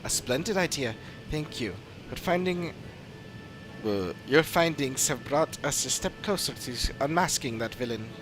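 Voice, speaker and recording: Measured fantasy game investigator, played by a man, formal, deliberate, music underneath. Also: mid-range voice, animated, lightly acted. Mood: resolved. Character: measured fantasy investigator piecing clues together. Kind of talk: scripted game dialogue reading.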